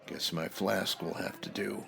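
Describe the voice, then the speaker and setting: A man's voice: low voice, steady tone, measured pace, creaky, a bit bright, heavily acted. A man playing a wry, world-weary private detective in an old-time radio parody, with clipped, sardonic tough-guy delivery. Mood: awkward, dry. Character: hardboiled male private eye, wry, brusque, world-weary.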